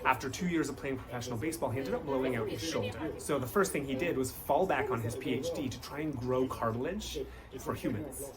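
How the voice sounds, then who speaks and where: A Canadian man answers interview questions in a smooth, pitch-like way, often checking in with a quick "right?". His voice sits at an ordinary middle pitch, and a busy crowd murmurs clearly behind him. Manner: fluent pitch-like explaining, frequent tag "right?". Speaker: company representative. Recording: crowd chatter in background.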